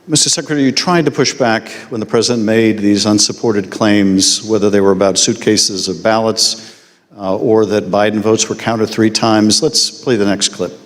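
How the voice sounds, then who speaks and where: A man questioning a witness at a formal hearing in a measured, deliberate way. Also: on the low side, fairly even intonation. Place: large hearing room. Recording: long hall reverb.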